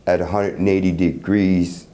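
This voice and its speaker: A middle-aged man casually explaining his product in an interview, halting and repeating words as he goes. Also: deep voice, little pitch movement, mellow. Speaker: loudspeaker creator being interviewed. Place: hotel room at an audio show. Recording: noisy room ambience.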